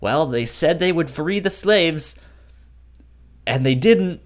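A man's voice: a little high, expressive, slow pace. A man co-hosting a podcast, discussing a book casually, with a frequent stutter that repeats sounds and syllables. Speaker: podcast co-host.

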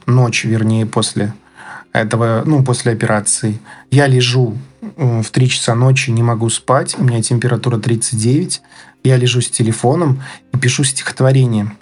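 Halting man, mid-pitched, over soft music. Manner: halting, searching for words. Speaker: interviewee telling his own story. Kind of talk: casual spoken recollection. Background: background music.

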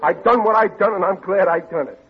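An American actor playing a stubborn, soft-hearted middle-aged family man who stammers ungrammatically in a 1940s radio comedy. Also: high-pitched, fairly quick, faint music behind, lightly acted. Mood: defensive, soft. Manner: ungrammatical, stammering, exasperated bluster. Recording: dull vintage radio recording.

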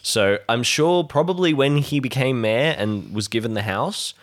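Animated man bantering casually. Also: mid-range voice, normal speed. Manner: jokey, rambling. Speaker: podcast cast member introducing his character.

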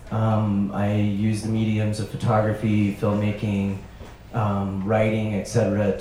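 A low, mellow-voiced man grew up in Arizona and Alaska and speaks on a panel in a measured, halting way. His pitch stays flat. The sound rings heavily around a large room. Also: a little hiss or hum. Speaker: panelist, storyteller. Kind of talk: panel self-introduction. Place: event venue with audience. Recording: echoey room.

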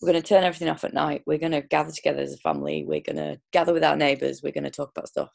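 A low-voiced woman with a gravelly, creaky edge, hosting a relaxed conversational interview. She rambles warmly through her questions, circling back with ums and restarts before landing on the point. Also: racing. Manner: chatty, lots of restarts and ums. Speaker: podcast host. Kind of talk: casual interview chat.

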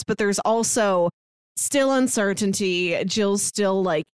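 A woman's voice: fairly low pitch, clean tone, no echo. A woman hosting a podcast, chatty and conversational, asking questions and recapping at length. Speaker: podcast host. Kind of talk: casual chat recapping a show.

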